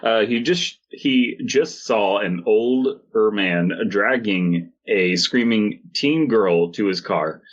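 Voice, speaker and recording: A man's voice: fairly low pitch, slow pace, hardly any pauses, dull audio. A man hosting a podcast, reading stories aloud and bantering casually with joking, sweary asides. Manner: sweary, jokey. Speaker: podcast host.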